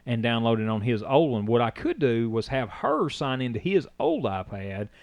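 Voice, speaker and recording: A man's voice: mid-range voice, sing-song, normal speed, no echo. A man, chatting on a podcast as a co-host and telling a rambling story. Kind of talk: casual chat.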